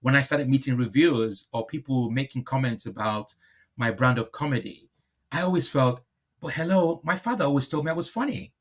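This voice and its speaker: A man gives a reflective, conversational interview as the guest. His voice sits at a medium male pitch, and he often repeats a phrase before carrying on, rewording his thought as he goes. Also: a little fast. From born in Liverpool, moved to Nigeria at five.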